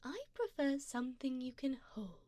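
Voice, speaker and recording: A woman voicing a wealthy, possessive vampire sugar mommy in an ASMR roleplay, soft and breathy with whispers, refined, coaxing and gently commanding, close on a binaural mic. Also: sits high, sing-song, moderate pace, dry recording. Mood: refined, persuasive. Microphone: binaural 3Dio microphone, close up.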